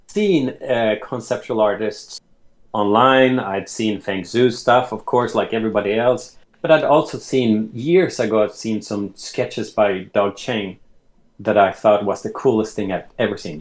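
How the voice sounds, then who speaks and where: A man in his late thirties from Sweden, telling his life story as an interview guest in an unhurried way, often restarting his sentences. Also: on the low side. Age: late 30s. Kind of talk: casual conversation.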